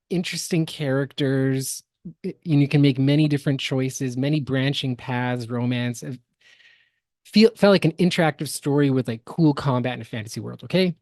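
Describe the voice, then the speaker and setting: A man co-hosting a podcast, explaining things in a casual commentary style with halting, emphatic phrasing. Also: mid-range voice, darkish tone, dry recording, studio quality. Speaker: podcast co-host.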